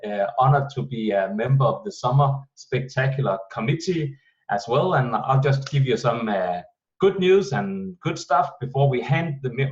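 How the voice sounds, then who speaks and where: A man hosts a video-call meeting, welcoming everyone and running through event plans. His delivery is halting, with frequent pauses to search for words. The call audio is muffled and lo-fi. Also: mid-range voice, a little mellow. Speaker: host of an online team meeting. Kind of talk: welcoming, announcing event details.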